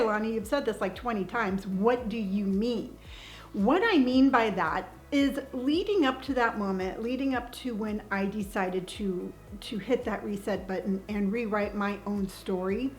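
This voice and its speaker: A middle-aged woman talking earnestly to her viewers about taking back her power, emphatic, sighing now and then and restarting her words. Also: fairly high pitch. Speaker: vlogger sharing life advice. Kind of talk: personal motivational talk to camera.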